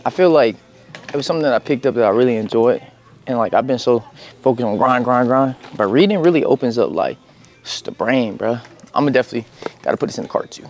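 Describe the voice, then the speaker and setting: A man's voice: medium pitch, fast talker, a little hiss or hum. Laid-back young man vlogging on the move. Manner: relaxed, slangy, addresses viewers directly. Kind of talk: casual vlog chat. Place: outdoors in parking lots and inside a big store.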